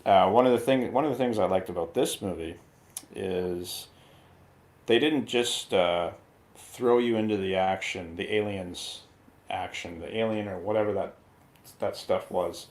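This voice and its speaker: A man's voice: low-pitched, measured pace. A man talking casually as a panelist in a movie review chat. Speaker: show panelist. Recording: noisy, echoey room sound.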